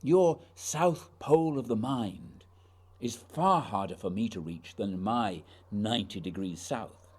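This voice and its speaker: An actor playing a dutiful, reserved male polar expedition leader, measured, grave and formal, in a radio play. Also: lowish voice, expressive, a little mellow, husky. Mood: gently firm.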